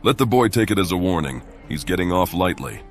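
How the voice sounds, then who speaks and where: A troubled warrior from a fantasy game in a rock-bottom bass. He is grim and sparing with words, blunt in delivery, over soft music. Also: very animated, a little fast. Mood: gruff, dry. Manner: terse, blunt.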